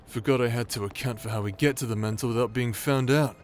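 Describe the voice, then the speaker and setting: An actor playing a crude, irreverent rogue warrior in a fantasy audio roleplay, talking in a casual, sarcastic, teasing way. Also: male voice, mid-range voice, fast talker, slight background noise, lightly acted. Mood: teasing, bored. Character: cheeky, crude, irreverent bastard warrior man, sardonic rogue.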